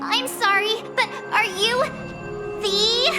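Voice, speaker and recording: A squeaky starstruck girl fan. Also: slow. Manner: excited, stammering. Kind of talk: video game voice acting. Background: game music.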